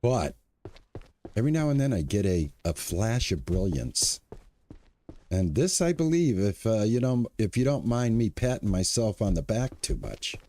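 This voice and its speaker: A man's voice: lowish voice, expressive, moderate pace, warm tone. Chatty older man streaming a game. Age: 60s. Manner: chatty, upbeat, talks to chat. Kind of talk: casual live-stream commentary.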